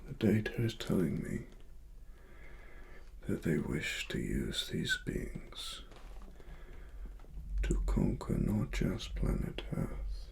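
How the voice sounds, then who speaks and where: A British man speaks extremely slowly in a soft, breathy half-whisper, leaving long pauses. His low voice swings widely in pitch as he plays a grave cyberpunk investigator in an ASMR story. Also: wildly swooping pitch, a little hiss or hum. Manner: slow, deliberate, hushed, with long pauses. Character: grave cyberpunk investigator uncovering corporate wrongdoing. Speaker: ASMR performer. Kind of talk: softly spoken ASMR roleplay, scripted cyberpunk story.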